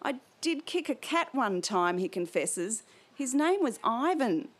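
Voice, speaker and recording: A woman's voice: a little high, big pitch swings, unhurried. A woman reading aloud and voicing an anxious young German boy who pleads in a wobbly voice. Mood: worried.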